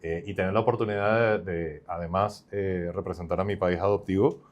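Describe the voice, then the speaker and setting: A man's voice: deep voice, fairly even intonation, a little fast, lo-fi sound. A male startup founder, not from Chile, explaining his company at length in an upbeat podcast interview with many fillers.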